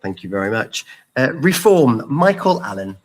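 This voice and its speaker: A man's voice: medium pitch, big pitch swings, moderate pace. A man moderating a hustings, briefly and formally announcing each speaker. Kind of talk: introducing speakers.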